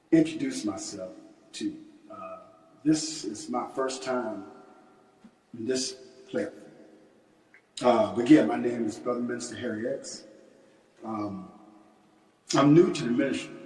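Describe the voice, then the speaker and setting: A man of sixty-two speaking as a minister in a religious lecture and prayer, deliberate and halting, with emphatic starts to his phrases. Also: medium pitch, dark tone. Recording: echoey hall, distant microphone.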